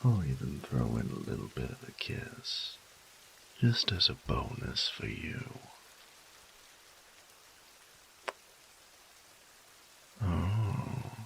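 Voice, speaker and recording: A man acts out an affectionate, teasing boyfriend in a romantic audio roleplay. His voice is extremely low and full of fry, drawn out very slowly in intimate murmurs and whispers. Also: very animated, a bit bright, slight background noise, full character voice, low-quality recording. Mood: playful, teasing. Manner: slow, teasing, breathy murmurs dropping into whispers.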